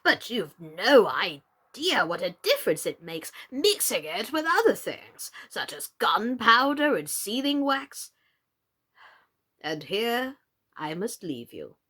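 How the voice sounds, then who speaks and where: Woman voicing a slow, theatrical, doleful knight. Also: medium pitch, big pitch swings, fairly bright tone, breathy edge, heavily acted. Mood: earnest, eager. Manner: slow, drawn-out, theatrical, fussy. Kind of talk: children's storybook character voice.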